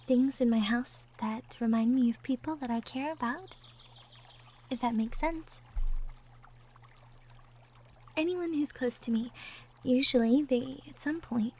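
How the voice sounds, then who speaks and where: A woman playing a gentle, caring young girlfriend from the woods in a soft, intimate romantic ASMR roleplay, with tender murmurs. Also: sits high, slight background noise. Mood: thoughtful, open. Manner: soft, tender, breathy murmurs with light giggles. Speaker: audio roleplay performer. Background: birdsong and outdoor nature ambience effects.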